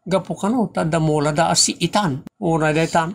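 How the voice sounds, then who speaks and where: A man's voice: fairly high pitch, brisk, gravelly. A man playing an outspoken, teasing friend, blunt and bantering, in a comedy audio drama.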